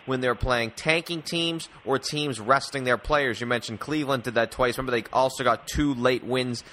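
Bright, forward male sports podcast host. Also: mid-range voice, moderate pace, slight background noise. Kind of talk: conversational sports discussion.

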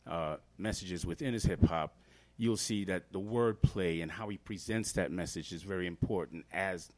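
A man's voice: low-pitched, normal speed, a little mellow. A man from Trinidad and Tobago, a guest hip-hop artist, explaining earnestly in a casual podcast interview with many fillers.

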